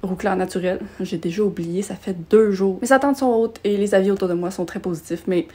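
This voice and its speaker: A woman's voice: medium pitch, fairly quick, a little mellow. A young adult woman with a Quebec French accent, chatting casually as she reviews books for her vlog, self-deprecating and given to small rants. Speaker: book vlogger.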